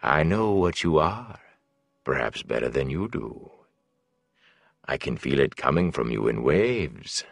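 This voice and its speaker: A man voicing a sleek, self-important, menacing servant of a dark lord in an audiobook, drawing out words to coax and command. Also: very deep voice, big pitch swings, gravelly, no echo, pitched below the performer's own voice, exaggerated voice. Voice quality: sleek, thick. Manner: drawn-out, coaxing then commanding. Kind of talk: audiobook villain dialogue.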